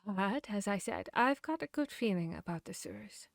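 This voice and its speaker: A woman's voice: medium pitch, expressive, normal speed, gravelly, no echo. Woman acting as intimate female vampire hunter, very dry sound. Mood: focused, reassuring. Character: female vampire hunter, confiding, bookish, teasing fantasy companion. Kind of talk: ASMR roleplay audio drama.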